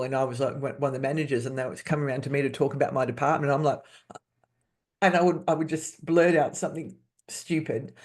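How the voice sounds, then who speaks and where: A woman in her fifties, a podcast guest, speaking candidly and haltingly, restarting words and laughing often. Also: deep voice, creaky, darkish tone. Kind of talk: candid personal interview.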